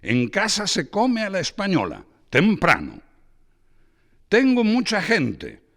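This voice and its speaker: A man voicing a boisterous, overbearing middle-aged man who talks with loud, emphatic bluster. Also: high-pitched, huge pitch swings, takes it slowly, vocal fry, long reverb tail, voice pushed up, exaggerated voice.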